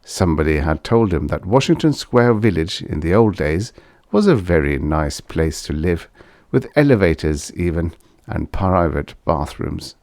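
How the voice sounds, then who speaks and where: A man's voice: low-pitched, lively intonation, a little slow, a little mellow, no echo, clean studio sound. A man reading vintage science fiction aloud as an audiobook narrator, in a scripted storytelling style.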